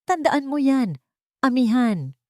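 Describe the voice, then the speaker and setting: A woman's voice: high-pitched, sing-song, slow pace, a little mellow, no room sound, studio quality, voice pushed up, full character voice. A woman voicing a haughty, scornful older matriarch in a dramatic story, imperious and threatening. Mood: furious, threatening. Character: furious, haughty, menacing older matriarch mother-in-law.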